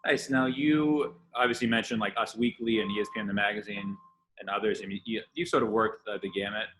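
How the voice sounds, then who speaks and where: A man's voice: on the low side, fairly even intonation, brisk, fairly bright tone, a little hiss or hum, rough recording. A young man co-hosting a podcast, asking questions in a casual, conversational style. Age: young adult. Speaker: podcast co-host, interviewer. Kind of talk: casual interviewing.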